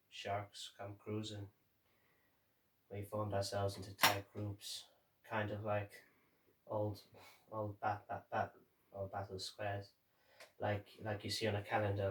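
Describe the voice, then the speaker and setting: A man playing a grim, haunted sailor who survived a sinking, reciting a dramatic monologue in halting, measured phrases with deliberate pauses. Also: on the low side, monotone, slight background noise, lightly acted. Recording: roomy echo, distant microphone.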